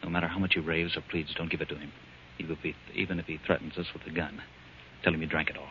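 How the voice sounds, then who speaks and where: A man's voice: very deep voice, flat delivery, very fast, sharp tone. An actor playing a stoic, protective man stranded in a wasteland, firm and earnest in old-time radio style. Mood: tender, weary. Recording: dull, hissy vintage radio recording.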